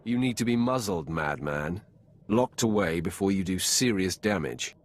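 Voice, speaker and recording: An actor playing a commanding, sardonic dark lord in a video game, wry and imperious toward those beneath him. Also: male voice, low-pitched, animated. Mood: stern. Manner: wry, imperious, measured authority. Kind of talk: video game character acting.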